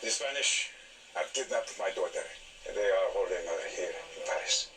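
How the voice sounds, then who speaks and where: A man's voice: low voice, lively intonation, moderate pace, crowd noise, poor audio. An actor playing a grave, desperate man in a period television drama. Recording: drama soundtrack with clear music.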